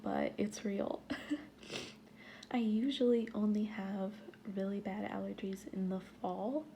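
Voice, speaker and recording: A woman with a stuffy nose, talking casually to her viewers, breathy and often half-whispered between sighs. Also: medium pitch, steady tone, takes it slowly, warm tone, slight background noise. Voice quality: stuffy nasal voice. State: stuffy nose, sniffling and sneezing. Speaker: vlogger. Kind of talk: casual talk to camera.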